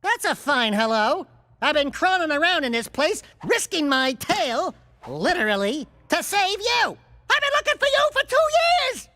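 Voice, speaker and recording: An actor voicing a small, jumpy, wisecracking animal sidekick in a video game cutscene, quick and whiny. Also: male voice, very high voice, sing-song, bright, forceful tone, no room sound, heavily acted. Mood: chatty, urgent. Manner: fast quippy and whiny.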